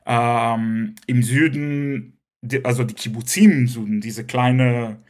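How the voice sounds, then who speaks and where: A man's voice: mid-range voice, fairly even intonation, slow pace. A man, a Hebrew speaker, answering podcast interview questions hesitantly with many fillers and restarts. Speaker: guest.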